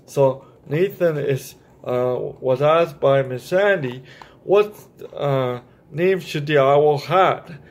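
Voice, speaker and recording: A man's voice: on the high side, slow pace. A man hosting a children's story time, reading a picture book aloud and explaining it directly to young listeners.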